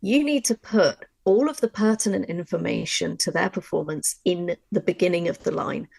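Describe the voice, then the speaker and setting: A woman's voice: lowish voice, normal speed, vocal fry, a little mellow, breathy edge, no echo. A woman teaching a workshop in a conversational, informal way, speaking emphatically and insistently. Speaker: audio drama writer and workshop presenter.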